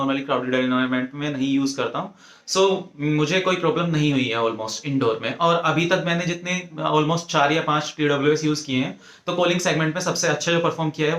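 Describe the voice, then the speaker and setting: Higher-voiced Indian man reviewing, echoey room. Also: a bit bright. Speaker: tech reviewer presenting an earphone review. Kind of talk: informal spoken product review, mixing Hindi with English. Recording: echoey, roomy sound.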